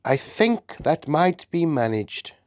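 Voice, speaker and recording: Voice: medium pitch, big pitch swings, slow pace, dry recording, clean studio sound, voice pushed up. A storybook reader voicing a gracious, self-composed queen with a polite, formal manner. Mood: composed, courteous.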